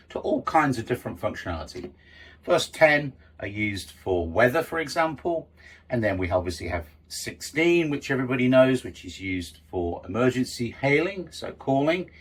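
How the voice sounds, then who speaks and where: A man's voice: lowish voice, breathy edge. A middle-aged man giving an informal, explanatory lesson as a sailing instructor, conversational and stressing key terms.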